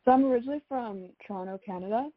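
A woman's voice: on the high side, very fast, no echo, narrow, tinny audio. A young woman from South Florida chatting casually as an interview guest, peppering her answers with youthful slang. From South Florida, United States. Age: young adult. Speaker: singer-songwriter guest.

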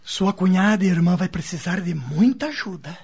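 A woman playing a grave, concerned spiritist centre worker with a husky voice in a radio soap opera. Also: lowish voice, expressive, normal speed, a little mellow, exaggerated voice. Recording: dull lo-fi audio.

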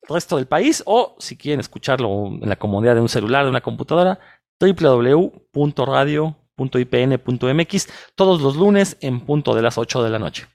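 A man's voice: mid-range voice, animated, a little fast, a little mellow, no echo, studio quality. A man with a chilango Mexico City accent, speaking as a podcast co-host in an emphatic, opinionated film discussion full of tag questions.

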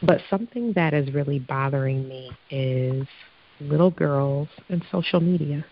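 A woman hosting a podcast in a casual, chatty, upbeat way, her voice hoarse from allergies. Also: low voice, muffled recording. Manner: chatty, upbeat, quick to laugh. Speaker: podcast host. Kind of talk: casual chat.

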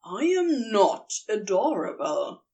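A woman's voice: mid-range voice, sing-song, slow, gravelly, warm tone, heavily acted. A woman voicing a vain, teasing male demon with a sly, drawling way of talking. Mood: grumbling.

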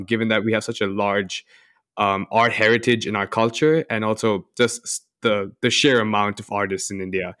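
Male co-host, 20s, lower pitch, dry studio-like sound, conversational with hesitant build-ups. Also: a bit bright, dry recording. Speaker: podcast co-host. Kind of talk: conversational podcast interviewing.

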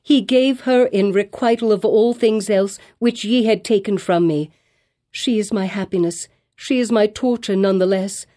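A woman's voice: medium pitch, normal speed, mellow, natural voice. A woman voicing a desperate young mother who pleads for her child, her voice rising almost to a shriek. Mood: pleading.

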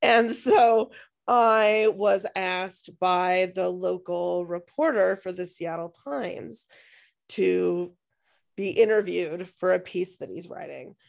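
A woman co-host whose voice is hoarse and fraying. There is a gravelly fry in her tone, and she tells stories in a casual, rambling way with plenty of restarts. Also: on the low side, slow. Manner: rambling, self-interrupting storytelling. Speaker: podcast co-host and music teacher. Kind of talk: casual podcast chat.

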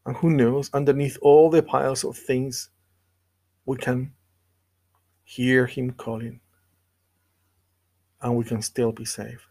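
A man delivering a scripted devotional sermon and scripture reading in an earnest, measured way with deliberate pauses. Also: mid-range voice, little pitch movement, darkish tone. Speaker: preacher.

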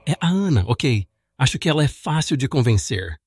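A man's voice: medium pitch, big pitch swings, normal speed, breathy edge, no room sound, clean studio sound. A man playing a persuasive, self-assured political rival in an amateur audio drama, coaxing as he talks. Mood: confident, provoking. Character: João, smooth, persuasive male political rival.

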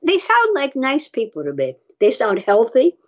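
A woman with a husky voice chatting casually as a podcast guest, musing aloud with firm opinions and rhetorical questions. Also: sits high, huge pitch swings, normal speed, muffled recording. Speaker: podcast guest reacting to research studies. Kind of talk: casual conversational commentary.

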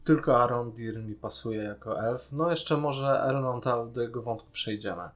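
Casting: man, medium-pitched, for a casual podcast discussion giving opinions. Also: normal speed, soft background music. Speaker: podcast co-host.

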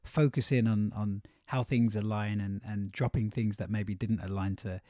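A man's voice: lowish voice, fairly even intonation, moderate pace, warm tone, no echo, studio quality. A man, hosting a podcast in a casual, meandering, informal way. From London, England. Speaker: podcast host. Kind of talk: casual chat.

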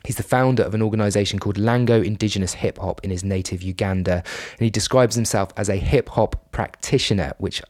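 Low-voiced male podcast host. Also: fairly even intonation, fairly quick, a little mellow, dry recording, clean studio sound. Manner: chatty, with asides and restarts. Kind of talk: casual podcast talk.

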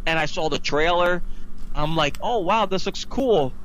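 A man's voice: sits high, unhurried, fairly bright tone, slight background noise, rough recording. A man in his thirties chatting casually and opinionatedly as a podcast co-host, with an emphatic, exasperated, ranting delivery. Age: 30s. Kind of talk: casual opinionated movie chat.

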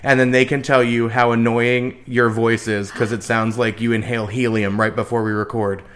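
A man in the middle of his range co-hosting a casual true-crime podcast. He teases his partner playfully and breaks into laughter often. His pitch sits right at the average. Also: little pitch movement, moderate pace, fairly bright tone. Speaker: podcast co-host. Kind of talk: casual banter about a true-crime case.

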